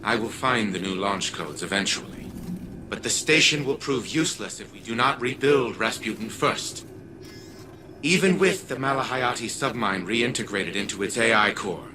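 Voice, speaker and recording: An actor playing an arrogant older scientist living on as an artificial mind, pompous and formal in a video game. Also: male voice, lowish voice, noisy background, natural voice. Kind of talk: scripted video game dialogue. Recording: music behind the voice.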